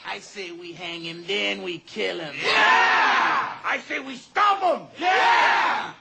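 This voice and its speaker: An actor playing a rowdy, bloodthirsty biker shouting threats to a gang in a comedy film. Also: mid-range voice, slow, vocal fry. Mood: rowdy, menacing. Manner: shouting threats, rabble-rousing. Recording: noisy film clip, shouting crowd.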